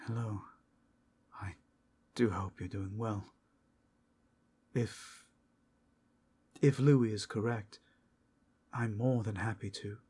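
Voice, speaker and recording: Man playing a breathy, timid half-angel. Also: on the low side, steady tone, takes it slowly, long pauses, warm tone. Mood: timid, apologetic. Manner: timid, polite, apologetic. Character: shy, submissive male half-angel brothel companion. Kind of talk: ASMR roleplay.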